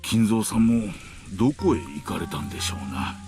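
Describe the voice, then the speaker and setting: A man playing an anxious, courteous elderly gentleman in a visual novel, speaking politely and with worry. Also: on the low side, expressive, racing, gravelly. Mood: worried. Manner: polite, worried. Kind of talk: Japanese visual novel voice acting. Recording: loud music and rain behind.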